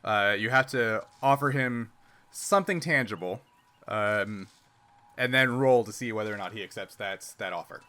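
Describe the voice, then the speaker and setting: A man's voice: on the low side, normal speed, bright, forceful tone. A man acting as game master, explaining rules and narrating a tabletop game, often checking that he is understood.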